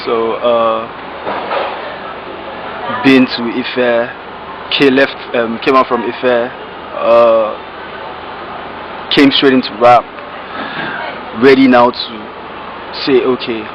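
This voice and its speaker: A young man with a Nigerian accent speaks in an easygoing, rambling way, full of slang. He is recorded outdoors in a residential yard on a camera held close. The sound is muffled, and traffic and street noise carry clearly behind him. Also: medium pitch, little pitch movement, slow pace, pauses often. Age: young adult. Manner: laid-back, rambling, slangy. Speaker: rapper guest. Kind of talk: casual interview. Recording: dull audio with outdoor noise.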